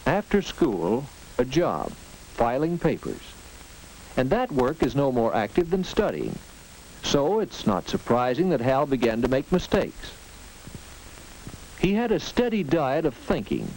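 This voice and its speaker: Male American narrator, 1950s classroom-film style: instructive, expressive melodic delivery, speaks to the viewer, slightly noisy old soundtrack. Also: medium pitch. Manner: instructive, rhetorical, addressing the viewer directly.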